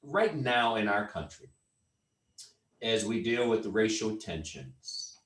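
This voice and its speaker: A man hosting a community talk, interviewing a guest on a video call with quick, friendly prompts. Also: on the low side, very animated, fairly bright tone, echoey room, recorded from a distance. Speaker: host.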